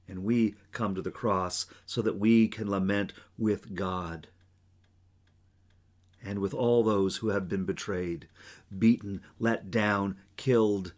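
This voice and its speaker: A man preaching as a pastor, speaking in solemn, measured phrases with long pauses. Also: fairly low pitch. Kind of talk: sermon, reflection.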